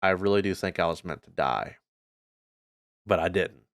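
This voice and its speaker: Clear-voiced North American man, casual vlogger. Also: low-pitched, normal speed, a bit bright, no room sound, studio quality. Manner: informal, rambling, earnest. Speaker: gaming YouTuber and streamer. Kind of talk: casual channel update vlog.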